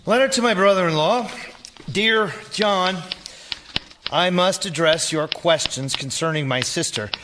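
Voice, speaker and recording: An actor playing a formal, presumptuous Victorian doctor in a radio drama, reading out a letter with declamatory assurance. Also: male voice, sits high, unhurried, fairly bright tone, soft background music. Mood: condescending, assured. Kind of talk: theatrical radio drama acting. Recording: noisy live theater recording.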